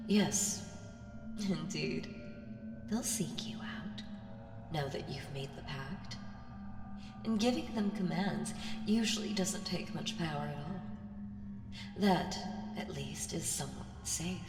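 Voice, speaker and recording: Woman acting ancient primordial she-demon, audio roleplay, very slow deliberate delivery, taunting, menacing, whispery touches, heavily echoing over music. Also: sits low, heavily acted. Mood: commanding, smug. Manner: slow, deliberate, teasing, lapsing into breathy whispers. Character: ancient female primordial evil, seductive, taunting, patient and menacing. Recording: very echoey, long reverb, music behind.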